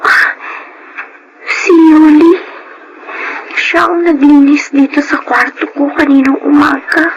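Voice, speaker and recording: A woman playing an anxious, soft-hearted young woman in a Filipino radio drama, speaking in an emotive, hesitant way. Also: high-pitched, steady tone, slow, long pauses, dull audio, slight background noise. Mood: worried. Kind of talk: Filipino radio drama acting, first-person narration.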